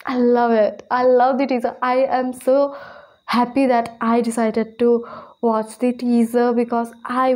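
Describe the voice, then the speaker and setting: A woman in her twenties hosting a casual reaction video, gushing and excited, hesitating and repeating words for emphasis. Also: a little high. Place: small plain room indoors.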